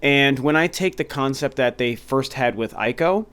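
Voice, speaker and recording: A man's voice: mid-range voice, animated, normal speed, fairly bright tone, clean tone. Stuffy, enthusiastic male game reviewer. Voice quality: slightly nasal. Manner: enthusiastic, rambling with restarts. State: stuffy nose from a cold. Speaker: video game review host. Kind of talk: casual solo talk to camera.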